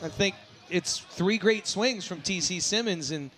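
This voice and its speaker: A man doing live baseball play-by-play commentary as the announcer, who gets excitable and shouts on big plays. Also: high-pitched, unhurried, soft background music. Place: sports broadcast at a baseball game.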